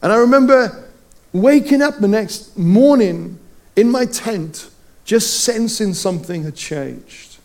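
High-voiced Welsh pastor preaching. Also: male voice, expressive, slow, a little mellow. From Wales. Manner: storytelling, playful asides. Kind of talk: sermon.

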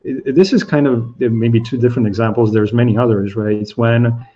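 Male co-host from Slovakia, native Slovak, flat low voice, lo-fi sound, loose thinking-aloud delivery. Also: fairly quick, slightly rough. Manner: thinks aloud with frequent restarts. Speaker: podcast co-host. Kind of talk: casual discussion.

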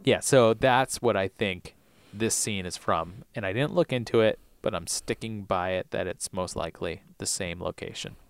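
Man with a clear, dry podcast voice. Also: fairly low pitch, moderate pace, no room sound. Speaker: podcast co-host. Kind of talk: casual chat, recapping TV episodes.

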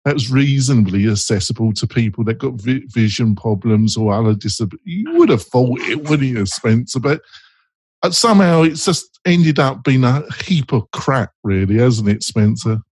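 A man's voice: mid-range voice, lively intonation, a little mellow, husky, dry recording. Rambling man host, dry sound. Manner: rambling, halting, asks others for agreement. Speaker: podcast host. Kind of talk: casual chat.

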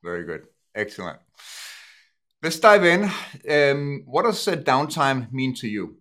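A man hosts a podcast conversation in a formal, businesslike way. His intro sounds partly scripted, and his questions are brisk and to the point, in a mid-pitched voice. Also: big pitch swings, bright, forceful tone. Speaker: host, company CEO. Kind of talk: podcast interview hosting.

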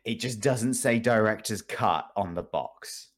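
Man, deep voice, casual podcast chat, wry, joking, sardonic. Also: measured pace. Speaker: podcast guest discussing video games.

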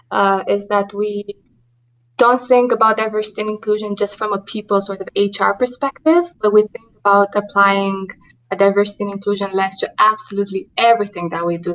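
A woman's voice: medium pitch, steady tone, fairly quick, a bit bright, poor audio. A woman in her thirties from Romania with a Romanian accent, a guest on a podcast who talks earnestly about her work with many ums and restarts. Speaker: diversity and inclusion manager, guest. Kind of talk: podcast interview.

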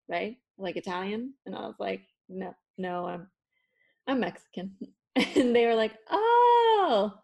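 Young Toronto woman, native Spanish speaker, casual candid storyteller who acts out quotes. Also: mid-range voice. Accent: Toronto English. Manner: candid storytelling with swearing, acting out quoted people. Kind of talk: casual conversation.

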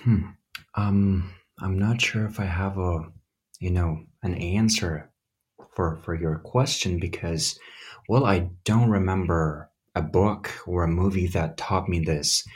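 A man's voice: low-pitched, takes it slowly, breathy edge. A man from Ukraine with a blend of American accents, answering interview questions in a hesitant, thoughtful way. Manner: hesitant, thoughtful, many fillers. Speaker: guest, English teacher.

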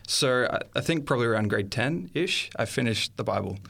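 A man sharing his faith testimony as an interview guest, earnest and reflective, pausing often as he thinks aloud. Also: mid-range voice, animated, vocal fry, a bit bright.

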